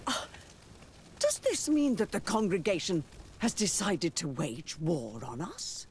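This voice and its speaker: A man's voice: sits high, huge pitch swings, measured pace, a little hiss or hum, heavily acted. A man playing a proud, sceptical religious leader in a video game, speaking in a formal, theatrical and probing manner. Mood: suspicious, indignant. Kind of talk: video game cutscene dialogue acting.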